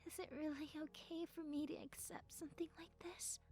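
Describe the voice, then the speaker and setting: A woman playing a shy, sweet young goth best friend in an intimate audio roleplay, soft-spoken and breathy, with hesitant, stammering speech. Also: sits high, level tone, fairly quick, soft background music. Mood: tender, relieved.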